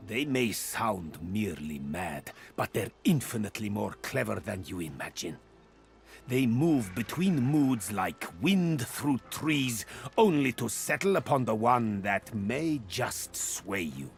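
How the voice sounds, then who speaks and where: A man playing a wary, grave adviser in a fantasy video game, gravelly and measured, with dry disapproval. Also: lowish voice, animated, soft background music, full character voice. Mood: ominous warning. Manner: measured, ominous, dryly disapproving. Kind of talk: video game character acting.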